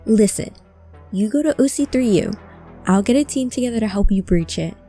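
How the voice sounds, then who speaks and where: A woman acting an earnest, emotional hacker searching for a lost friend in a cyberpunk audio drama, with halting delivery. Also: on the high side, animated, warm tone, faint music behind, lightly acted. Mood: pleading, determined. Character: distressed, determined hacker woman seeking her lost friend.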